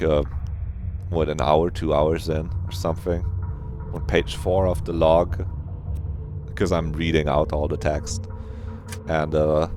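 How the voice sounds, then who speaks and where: A man's voice: a bass voice, a little mellow, a little hiss or hum. A man hosting a livestream, chatting casually and rambling easily to his viewers. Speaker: livestreamer and host. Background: background game music.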